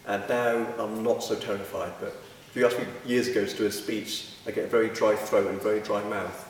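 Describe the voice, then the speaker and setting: A man with a lowish, monotone voice speaking in a relaxed, plain way. He is heard from a distance in a large hall with long reverb and a faint background hum. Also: fairly quick. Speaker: club member. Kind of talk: casual interview. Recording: echoey hall from far off.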